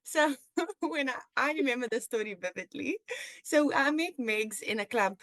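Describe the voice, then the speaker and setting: Chatty South African woman, higher-pitched voice. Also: lively intonation, moderate pace, no room sound. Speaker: podcast host. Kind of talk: casual chat with a friend.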